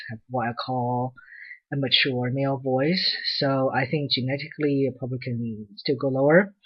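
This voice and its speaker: A man giving a casual personal video update, talking hesitantly with many pauses, ums and restarts. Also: medium pitch, lo-fi sound. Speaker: vlogger.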